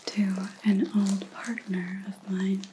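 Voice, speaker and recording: A woman playing a calm, menacing vampire hunter in an ASMR roleplay, whispering breathily and deliberately, close to the microphone. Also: mid-range voice, background noise, lightly acted. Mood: quiet menace. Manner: slow, deliberate, quietly accusing.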